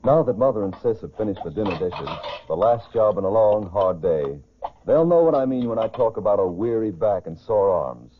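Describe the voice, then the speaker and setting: Animated male 1940s radio pitchman, tinny sound. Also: moderate pace, a little hiss or hum. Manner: folksy, persuasive. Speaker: commercial announcer.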